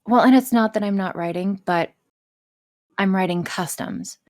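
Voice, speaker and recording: A woman's voice: medium pitch, moderate pace, a little mellow. A woman chatting casually as a podcast guest, candid, enthusiastic and free with swearing. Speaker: podcast guest, audio script writer and performer.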